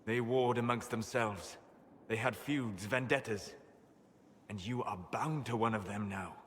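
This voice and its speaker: An actor playing a grave, learned elven mage in a fantasy video game, formal and measured, with passionate intensity. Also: male voice, on the low side, in a large hall, a little hiss or hum, close to an everyday voice. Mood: grave, reflective. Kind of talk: dramatic video game voice acting.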